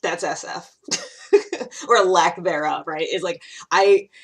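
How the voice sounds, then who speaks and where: A woman's voice: on the low side, fairly even intonation, a little slow, a bit bright, slightly rough. A woman talking casually in a solo explainer vlog, thinking aloud and often checking in with short rhetorical questions. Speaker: YouTube vlogger.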